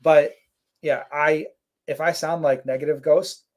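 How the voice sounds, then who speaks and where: A man's voice: on the high side, a little slow. A man co-hosting a casual live stream, reading out chat comments and rambling with lots of fillers. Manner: relaxed, rambling, many fillers.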